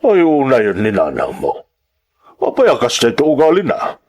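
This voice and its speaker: A man plays a concerned middle-aged uncle in a radio-style drama. His medium-pitched voice swings in a very lively way as he gives frank, sympathetic advice. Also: normal speed. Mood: questioning.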